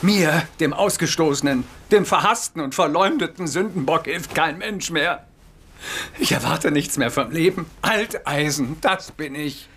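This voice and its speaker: A man's voice: on the high side, unhurried, a bit bright, slight background noise. A man playing an embittered, pompous old deposed German Kaiser in a satirical radio play, plaintive and indignant. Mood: self-pitying. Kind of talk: satirical radio play acting with sung passages.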